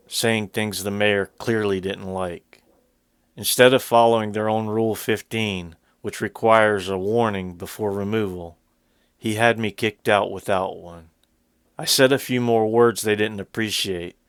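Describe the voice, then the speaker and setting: A man reading scripted narration for a video in a measured, formal way, with a smooth, clear voice. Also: lowish voice, no room sound, studio quality.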